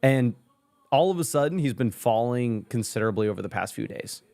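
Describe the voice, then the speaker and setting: A man with a middle-pitched voice hosts a casual chat about fantasy football. He is upbeat and chatty, reacting quickly and moving the talk along. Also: lively intonation, creaky, a little mellow. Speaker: host reading live chat.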